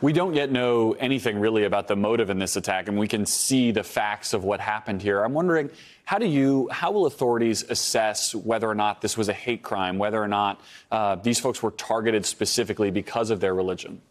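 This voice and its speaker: A man's voice: on the low side, brisk. A man anchoring live television news, putting questions to a guest.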